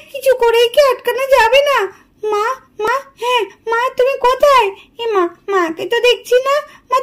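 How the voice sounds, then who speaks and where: A woman voicing an inquisitive, anxious village child in a doll story, full of questions and pleading. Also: very high voice.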